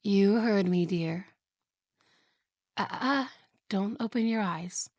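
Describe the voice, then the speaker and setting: A woman's voice: medium pitch, no echo, studio quality. Woman voicing a whispery, menacing jealous wife. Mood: quietly menacing. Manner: soft, slow, whispery, with giggles. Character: possessive jealous young wife, sweetly menacing. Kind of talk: ASMR roleplay audio.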